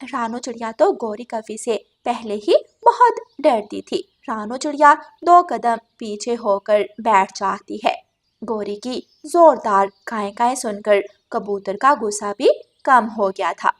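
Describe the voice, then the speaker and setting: Lady narrator for kids cartoon stories, high voice, scripted storytelling. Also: lively intonation, slow.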